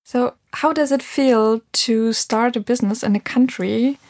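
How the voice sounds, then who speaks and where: Female interviewer, slightly high voice with vocal fry, asking questions conversationally. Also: measured pace, a little mellow.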